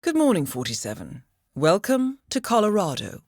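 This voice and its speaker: An actress voicing a cool-headed female spy handler in a video game, briefing an agent with measured, polished precision and dry wit. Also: mid-range voice, wildly swooping pitch, a little mellow, no room sound. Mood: composed, businesslike. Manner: measured, composed, precise, understated. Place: studio.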